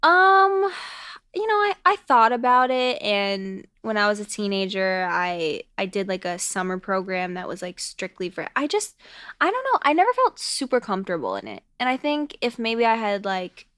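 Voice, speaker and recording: A woman's voice: mid-range voice, very animated, normal speed, fairly bright tone, clear, resonant tone, dry recording. Woman, podcast guest, loose and chatty with plenty of fillers; mid-height voice, dry close-up sound. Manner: chatty, lots of "like", restarts into stories. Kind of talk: casual chat. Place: podcast studio.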